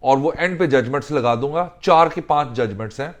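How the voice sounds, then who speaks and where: A man explaining legal rules in a deliberate way, mixing in English legal phrases. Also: mid-range voice. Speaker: legal instructor.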